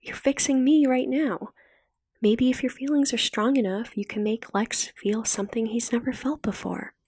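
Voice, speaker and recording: A woman voicing an earnest, insistent teenage girl with a breathy, pleading manner in an audiobook. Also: a little high, normal speed, darkish tone, dry recording, pitched above the performer's own voice. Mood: tender.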